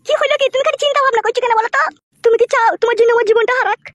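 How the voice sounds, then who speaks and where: A cartoon village wife with an extremely high, shrill voice. She talks very fast, nagging and insisting with a sharp, foul-mouthed tongue. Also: steady tone, no room sound. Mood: pleading, insistent. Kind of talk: comic cartoon dialogue.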